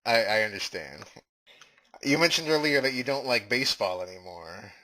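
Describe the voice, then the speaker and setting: A man's voice: on the low side, lively intonation, normal speed, sharp tone, rough recording. A man acting as an interviewer in a comedy skit, asking earnest, formal questions. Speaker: mock interviewer. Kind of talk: improvised mock interview.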